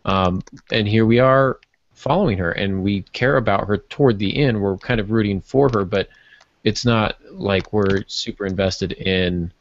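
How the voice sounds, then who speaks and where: A man talking through fiction writing as a podcast panelist in casual discussion, with a measured, halting delivery as he thinks aloud. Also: fairly low pitch, highs cut off. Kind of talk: literary discussion, casual chat.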